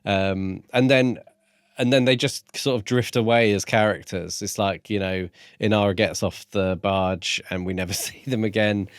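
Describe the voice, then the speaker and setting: A man's voice: lowish voice, fairly even intonation, normal speed, smooth, dry recording, studio quality. A man co-hosting a podcast, talking through his thoughts on a book in a casual, reflective and slightly hesitant way.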